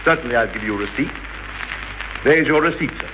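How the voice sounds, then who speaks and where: Guy acting indignant polite aussie straight man, old vaudeville skit, talks fast, hissy old record. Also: medium pitch, lively intonation, lightly acted. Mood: brisk, indignant. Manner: formal, emphatic. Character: indignant, formal, polite man settling a bill. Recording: noisy old gramophone-era recording.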